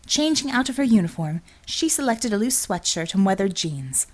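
Woman audiobook narrator, medium pitch, reading a dramatic story. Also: very animated, normal speed.

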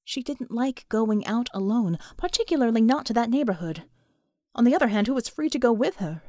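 Expressive woman narrating fiction, lively pitch. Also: fairly quick, no echo, clean studio sound. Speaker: audiobook narrator.

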